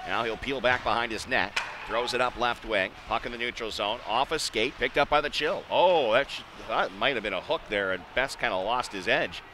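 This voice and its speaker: A man's voice: mid-range voice, normal speed, few pauses, pushed and bright. A man calling a live hockey game as a play-by-play radio announcer, in clipped running phrases. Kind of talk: live sports radio commentary. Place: ice hockey arena. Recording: noisy live ice arena ambience.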